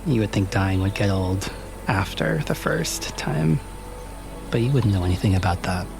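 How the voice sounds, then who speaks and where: A man playing a wry, unhurried character with a dry, teasing way of talking in a tabletop story. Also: sits low, animated, creaky, music in the background.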